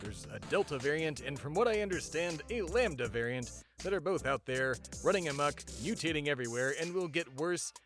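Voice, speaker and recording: A pompous male patriot played by a man holds forth as a comedy podcast host. His voice sits a little high and swings widely in pitch. He delivers everything with mock-serious grandeur, as if he were enormously important. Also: expressive, a little fast, fairly bright tone, soft background music. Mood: self-important, casual. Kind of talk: mock-serious comedic monologue and dramatic reading.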